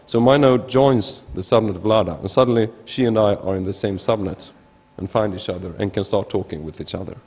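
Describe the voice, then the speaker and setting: A man in his thirties from Sweden, with a Swedish accent, giving an informal technical conference talk in a halting way, often restarting words. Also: fairly low pitch, a little mellow, husky. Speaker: researcher presenting at a conference. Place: large indoor conference hall, at a lectern. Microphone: gooseneck lectern microphone. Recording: reverberant lecture hall.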